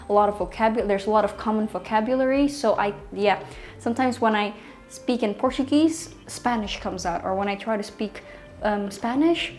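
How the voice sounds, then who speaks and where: A woman's voice: mid-range voice, a little mellow. A young woman, a language teacher giving casual advice in a vlog, talking in a chatty way with many ums and restarts, recorded in a small bedroom. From Indonesia. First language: Indonesian.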